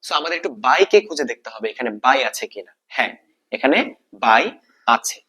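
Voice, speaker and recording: A man's voice: medium pitch, moderate pace, pushed and bright. A man with a Bangladeshi accent teaching a grammar lesson step by step, often asking questions and answering them himself, repeating key points. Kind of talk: exam-prep grammar lesson, explaining step by step.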